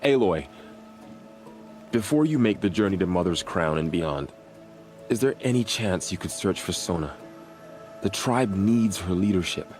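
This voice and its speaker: A grieving, dutiful young warrior from a video game. His voice is deep, with a solemn, earnest delivery. Soft music sits underneath. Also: lively intonation, a little slow, long pauses. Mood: earnest, urgent.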